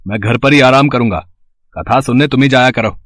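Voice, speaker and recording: A man voicing a gruff, short-tempered village bird-catcher in a moral-story cartoon, blunt and grudging with his wife. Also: mid-range voice, fairly quick, fairly bright tone, dry recording, studio quality, close to an everyday voice.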